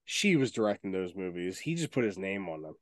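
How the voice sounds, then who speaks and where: A man's voice: sits low, very animated, fairly quick, slight background noise. A man co-hosting a podcast in casual, opinionated chat, speaking informally and with emphasis. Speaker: podcast co-host.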